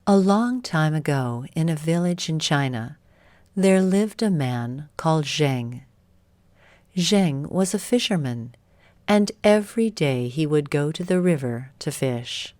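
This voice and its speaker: A woman's voice: deep voice, lively intonation, slow pace, darkish tone, dry recording. A woman reading a scripted bedtime story as narrator.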